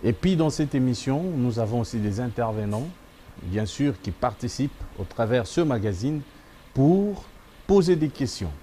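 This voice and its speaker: A man's voice: mid-range voice, expressive, moderate pace, mellow, a little hiss or hum. A man hosting a TV magazine, addressing viewers in an emphatic presenter style and repeating his slogans. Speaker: TV magazine host.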